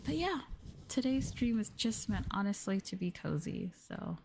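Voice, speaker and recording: A woman chats on an ASMR livestream in a soft, gentle voice of medium pitch. She often slips into whispering and speaks close to the microphone. Clear background noise and small clicks sit behind her voice. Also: sing-song, moderate pace. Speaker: VTuber streamer.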